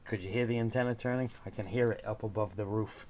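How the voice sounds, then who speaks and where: Man chatting over clearly noisy handheld recording. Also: lowish voice, fairly even intonation, fast, darkish tone. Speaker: radio hobbyist vlogger. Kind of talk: casual hands-on narration. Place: outdoors and in a home radio room. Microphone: handheld camera mic.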